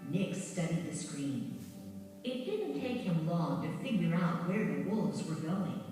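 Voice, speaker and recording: Echoey female storybook narrator, slow and expressive. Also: fairly low pitch. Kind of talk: scripted children's read-along narration. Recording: very echoey, distant, music behind. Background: music.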